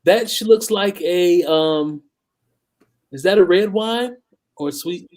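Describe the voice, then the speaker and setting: A man's voice: high voice, slow pace, a little mellow. An American man in his forties chatting casually as the wine expert guest on a panel show. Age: 40s.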